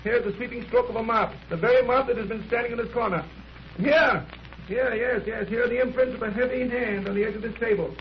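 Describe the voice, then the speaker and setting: An actor playing a keen, confident detective with dry teasing wit, speaking briskly in 1930s radio drama style. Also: male voice, extremely high pitch. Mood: absorbed, eager. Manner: brisk, musing, wryly condescending. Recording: muffled, noisy 1930s radio recording.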